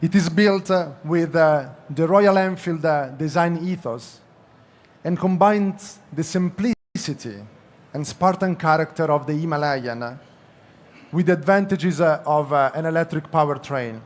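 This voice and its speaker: A man from Italy speaks with an Italian accent in a slightly high voice. He presents as an executive unveiling a product, with a halting, hesitant delivery full of pauses. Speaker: company executive presenting at a press conference.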